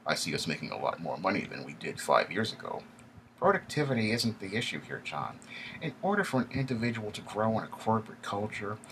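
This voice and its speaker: A man's voice: fairly low pitch, fairly bright tone, a little hiss or hum, voice pushed up, poor audio. A man voicing an arrogant corporate vice president with a twangy, nasal voice and a condescending, jargon-heavy way of talking. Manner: arrogant, condescending, uses big words and jargon.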